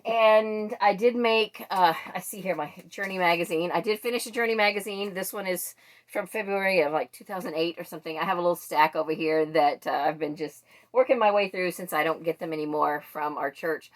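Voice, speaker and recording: A woman's voice: fairly low pitch, brisk. A woman book vlogger chatting casually and thinking aloud as she goes. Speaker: book vlogger presenting a monthly reading wrap-up. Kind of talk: casual chat to camera.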